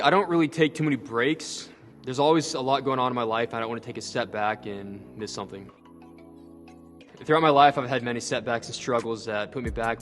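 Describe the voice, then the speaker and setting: A man's voice: medium pitch, a bit bright. A man, telling his own story in a casual interview and often saying "like" and "you know.". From Seattle, Washington. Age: 20s. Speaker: bodybuilder and actor. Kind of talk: personal interview monologue. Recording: light music behind.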